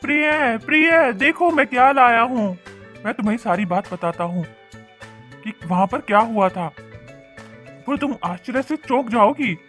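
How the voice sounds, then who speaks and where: A man voicing an excitable fisherman husband in an animated cartoon, with exclamatory, breathy gasps. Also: sits high, normal speed, pauses often, faint music behind, natural voice. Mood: excited. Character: excitable, greedy but kindly fisherman husband.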